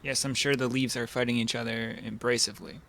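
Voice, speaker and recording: A man's voice: medium pitch, level tone, fast, vocal fry, bright, forceful tone, slight background noise. A man giving casual, sarcastic commentary while playing through a game.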